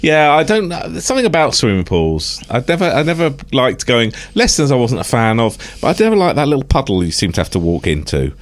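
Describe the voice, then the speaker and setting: A man's voice: mid-range voice, wildly swooping pitch, fast talker, hardly any pauses. A middle-aged British man co-hosting a podcast in casual nostalgic banter, sardonic and sweary, grumbling at his own expense. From United Kingdom. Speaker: podcast co-host.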